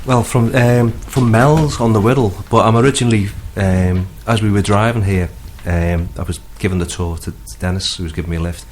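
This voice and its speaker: A man with a Liverpool accent and a low voice talks casually as an interview guest. He is hesitant and rambles a little, with faint noise behind him. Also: a little fast, hardly any pauses, darkish tone. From Liverpool, England. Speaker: guest, singer-songwriter. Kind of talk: casual radio interview chat.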